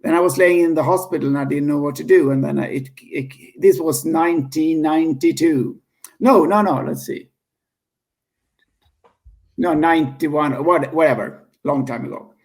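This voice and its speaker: A man's voice: on the high side, creaky, a little mellow. A man in his fifties from Sweden with a Swedish accent, speaking as a guest in a casual interview, often restarting and correcting himself as he goes. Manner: halting, many restarts and self-corrections, laughs easily.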